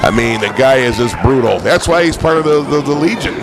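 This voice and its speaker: Hoarse male wrestling commentator. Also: medium pitch, lively intonation, brisk. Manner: partisan, defends the heel manager. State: losing his voice. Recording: loud crowd noise. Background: loud crowd and arena noise.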